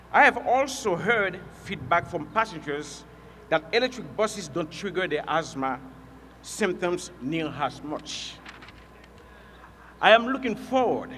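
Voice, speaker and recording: A man who drives a city bus, reading prepared remarks at a public event in a measured, earnest way. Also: high voice, pushed and bright, long reverb tail, slight background noise. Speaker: bus driver and union member.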